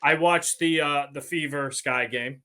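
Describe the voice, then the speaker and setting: A man's voice: fairly high pitch, a little slow, a loud crowd. An American man hosting a casual sports podcast, speaking as a sportswriter with a wry, self-deprecating manner.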